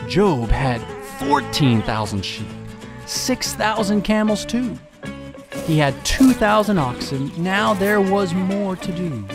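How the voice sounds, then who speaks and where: A man narrating a scripted rhyming Bible story in a measured, deliberate way. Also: on the high side.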